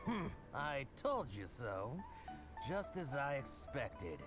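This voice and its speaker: A gloating, spiteful video game merchant. His mid-pitched voice swoops dramatically with theatrical emphasis, over clearly audible music. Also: sing-song. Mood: indignant. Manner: theatrical, indignant, drawn-out emphasis. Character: petty, gloating, resentful male merchant.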